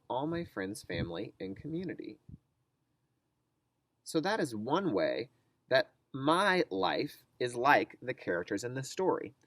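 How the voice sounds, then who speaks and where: A man speaks as a kindergarten teacher giving a read-aloud lesson. His pitch is lively and moves around a lot, and the sound is dry and close. He explains in simple, instructive language and puts questions to young listeners. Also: creaky, no room sound.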